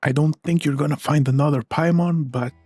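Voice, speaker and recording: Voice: mid-range voice, steady tone, fairly quick, dark tone, breathy edge, no room sound, soft background music. A gaming video creator giving casual, offhand commentary over a playthrough, with dry remarks.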